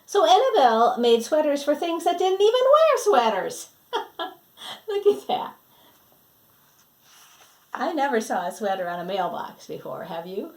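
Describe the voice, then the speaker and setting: A woman's voice: high-pitched, big pitch swings, measured pace, pauses often, darkish tone. A woman reading a picture book aloud to children, dramatic in delivery and putting questions to her young listeners. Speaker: children's story reader and teacher.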